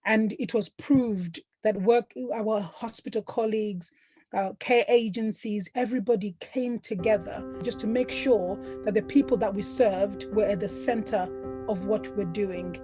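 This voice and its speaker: An earnest woman social worker speaks at a middle pitch. A clear instrumental music bed plays under her voice throughout. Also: steady tone, unhurried. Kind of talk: interview.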